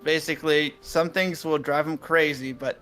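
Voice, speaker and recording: A man's voice: fairly high pitch, steady tone, moderate pace, fairly bright tone, soft background music. A man in casual group chat on a game stream, blunt and exasperated, prone to swearing.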